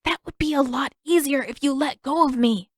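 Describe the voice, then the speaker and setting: A woman's voice: sits high, normal speed, dry recording, clean studio sound, pitched above the performer's own voice, close to an everyday voice. A woman voicing an earnest, mild-mannered young boy in an audiobook, pleading his point like a peacemaker. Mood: annoyed.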